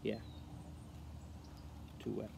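A man casually talking to himself while he carves wood in a backyard, picked up by a camera mic on the ground. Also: very slow. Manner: offhand, muttering to himself while working. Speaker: outdoor knife vlogger. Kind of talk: casual demonstration talk. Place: backyard outdoors by a chain-link fence. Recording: outdoor ambience noise, passing cars. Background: outdoor ambience with passing cars and insects.

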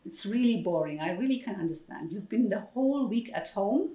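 A young woman playing a patient, sympathetic mother in an educational role-play, gently reassuring and repeating herself to her child. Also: mid-range voice, animated, brisk, natural voice. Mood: gentle, explaining. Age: young adult. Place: echoey indoor meeting room. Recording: echoey room.

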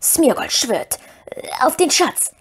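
A woman voicing a wretched, scheming little male cave creature from a fantasy story, with a hoarse, whispery croak and a cringing, drawn-out whine. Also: sits high, sing-song, voice pushed up, heavily acted. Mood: pleading, eager. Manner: whining, drawn-out, pleading.

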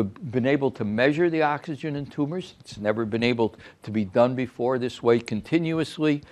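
Older man, research presenter, halting speech, lots of fillers, conference room talk. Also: medium pitch. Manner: halting, with many fillers and restarts. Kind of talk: scientific talk at a reception.

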